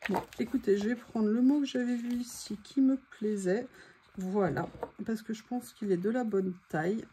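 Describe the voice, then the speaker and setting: A woman's voice: mid-range voice, normal speed, warm tone. A woman chatting casually and thinking aloud as she hosts a craft video, with a voice that is starting to give out. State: voice giving out, throat clearing. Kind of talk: casual chat while crafting. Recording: faint background noise.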